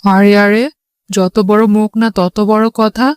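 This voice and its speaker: A woman's voice: mid-range voice, level tone, a little slow, darkish tone, smooth, no echo, studio quality, natural voice. A woman voicing a haughty, quarrelsome neighbour aunt in a children's cartoon, scornful and indignant.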